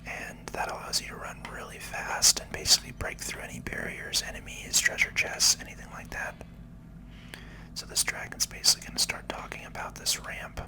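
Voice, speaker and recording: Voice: fairly quick, bright, forceful tone, low-quality recording. A person giving whispered, casual ASMR commentary while hosting a video game playthrough, explaining things as they go. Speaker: host, gaming video creator.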